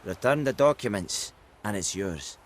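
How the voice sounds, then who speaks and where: A man's voice: on the low side, lively intonation, moderate pace, lightly acted. An actor playing a wordy, down-on-his-luck travelling merchant in a video game, pleading in a theatrical manner.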